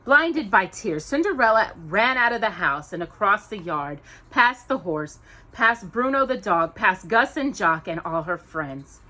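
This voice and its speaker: A woman hosting storytime, reading a children's story aloud with expressive, dramatic emphasis on descriptive words. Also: medium pitch, unhurried.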